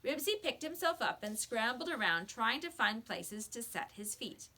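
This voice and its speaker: A woman reading a children's book aloud with expressive storybook narration, like a teacher to a class. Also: on the high side, normal speed.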